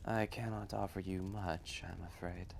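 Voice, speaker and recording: A man voicing an ancient, gentle, wise male dragon in a roleplay story, speaking in a hushed, measured, often breathy voice with a kindly manner. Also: steady tone, slight background noise. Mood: hospitable. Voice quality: low, gravelly, often breathy and whispery.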